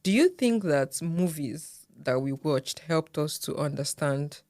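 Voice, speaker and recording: A woman with a Nigerian accent tells stories in an even, relaxed way on a podcast. Her voice sits a little low. The recording is dry and close. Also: a little slow, no room sound. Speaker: podcast co-host. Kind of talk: casual chat.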